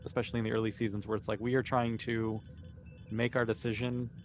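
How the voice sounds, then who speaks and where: A man's voice: mid-range voice, little pitch movement, quick pace. A man in a casual panel chat as a cast member, explaining things thoughtfully. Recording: faint background noise.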